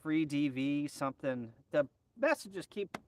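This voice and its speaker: A man's voice: a little high, expressive, a little slow, dry recording. An American man hosting a casual live stream as a ham radio hobbyist, chatting in an off-the-cuff way. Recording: distant mic, faint background noise.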